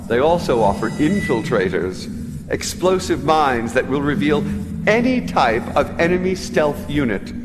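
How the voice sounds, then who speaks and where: A shrewd game advisor with a fairly high male voice that swings widely in pitch. He delivers briefings with measured, portentous menace, in a reverberant space. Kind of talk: video game briefing cutscene. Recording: noisy, long hall reverb.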